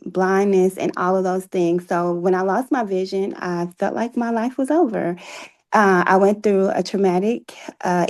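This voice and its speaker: A woman's voice: mid-range voice, warm tone. A woman speaking as an interview guest, telling her personal story in an earnest, reflective way. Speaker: interview guest, author and playwright.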